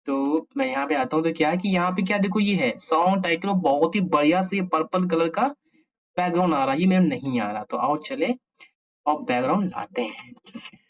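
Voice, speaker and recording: A man's voice: a little high, moderate pace. Dull-sounding Indian man thinking aloud. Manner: informal Hindi-English mixing, thinking aloud. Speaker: programming tutorial host. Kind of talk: casual screen-share coding tutorial.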